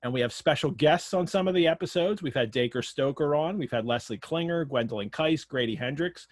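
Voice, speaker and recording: A man's voice: on the high side, fairly quick, dry recording. Chatty American man hosting, dry close sound. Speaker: host of an online literary show. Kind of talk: live webinar hosting, announcements and questions. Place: remote online video call.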